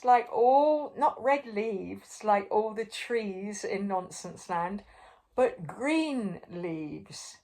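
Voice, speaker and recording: An older woman reading a children's story aloud expressively, pausing for drama and putting questions to the listener. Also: medium pitch. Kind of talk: animated read-aloud to young children.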